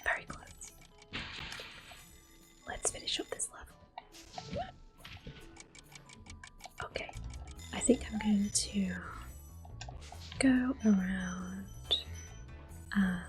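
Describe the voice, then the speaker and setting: Woman, ASMR game host: soft-spoken to whispered, slow and gentle, close mic, music behind. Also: mid-range voice. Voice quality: soft, breathy, often whispered. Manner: gentle, unhurried. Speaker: gameplay host. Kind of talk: ASMR gaming commentary.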